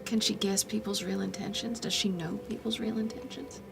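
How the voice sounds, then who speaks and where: A woman's voice: mid-range voice, fairly even intonation, a little fast, gravelly. Woman playing a hesitant, earnest young sorceress over music. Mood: troubled, earnest. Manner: hesitant, searching, trailing phrases. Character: earnest, troubled, soft-hearted young sorceress. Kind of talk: in-character tabletop roleplay.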